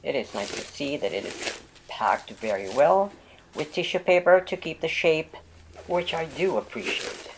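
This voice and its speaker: A vlog host narrating a product unboxing with a voice left raspy by a lingering cold. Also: medium pitch, expressive, a little slow, noisy background.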